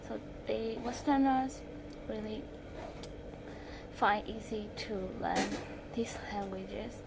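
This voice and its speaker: Teen female vlogger, Burmese accent, slow halting delivery in short phrases, heavy background noise. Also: medium pitch, gravelly. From Myanmar. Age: 16, teenager. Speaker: language-learning vlogger. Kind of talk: self-study tips presentation to camera.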